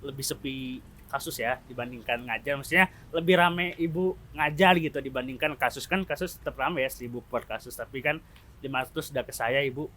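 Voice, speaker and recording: A man's voice: mid-range voice, brisk, sharp tone, a little hiss or hum. A man with a slight slur on his r sounds, hosting a casual, playful podcast chat and asking questions. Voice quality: slight slurred r (cadel). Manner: playful, colloquial, teasing banter.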